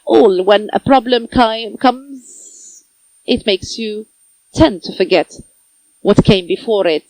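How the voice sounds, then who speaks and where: A woman giving simultaneous interpretation of a conference talk, speaking phrase by phrase with pauses and self-corrections. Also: medium pitch, dry recording, rough recording.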